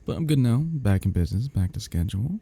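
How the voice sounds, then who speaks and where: A man's voice: medium pitch, big pitch swings, quick pace, gravelly, dark tone, breathy edge. A man who makes audio roleplays, talking casually and informally to his followers.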